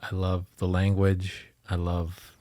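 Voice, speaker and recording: A man's voice: sits low, monotone, slow, mellow, no echo. A man chatting casually as a therapist and podcast co-host, plainspoken in his replies. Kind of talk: casual conversational chat.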